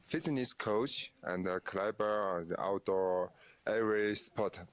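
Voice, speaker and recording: A man's voice: fairly low pitch, unhurried, no echo. A man from China, a fitness coach and climber, giving a hesitant self-introduction in English. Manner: hesitant, searching for words.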